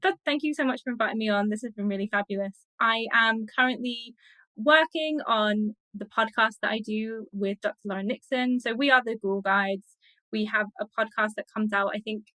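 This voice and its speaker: A woman with a fairly high, very bright voice, speaking as a guest in a casual interview. She is chatty and enthusiastic, and the sound is dry and close. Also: dry recording, rough recording. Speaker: interview guest, academic and podcaster. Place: indoor office on a video call.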